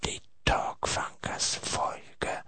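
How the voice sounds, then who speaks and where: Slow whispering male radio host. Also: sharp tone, dry recording. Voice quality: whispered, creaky. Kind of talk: whispered late-night radio talk.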